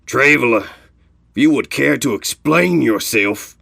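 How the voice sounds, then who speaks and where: A man's voice: medium pitch, animated, unhurried, vocal fry, no room sound, clean studio sound, pitched above the performer's own voice, heavily acted. A man voicing a commanding but courteous older male pony foreman in an audio story, calling out to his crew. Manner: rousing, shouting orders.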